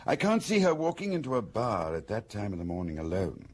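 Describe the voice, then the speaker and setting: An actor playing a detective's shrewd male confidant in a radio drama, husky and deliberate, asking probing questions. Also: lowish voice, wildly swooping pitch. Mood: probing, thoughtful.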